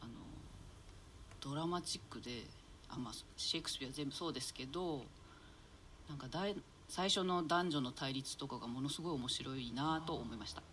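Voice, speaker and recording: A woman's voice: very deep voice, brisk, fairly bright tone, slight background noise. A woman chatting casually as a guest actor on a talk show. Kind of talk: casual chat.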